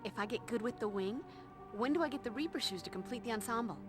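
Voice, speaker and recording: A woman's voice: a little high, fast talker, lightly acted. An actress playing a quippy, confident young female vampire slayer in a video game, with an American accent. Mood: wry. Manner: quippy, sarcastic. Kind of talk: video game cutscene dialogue. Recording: music behind the voice.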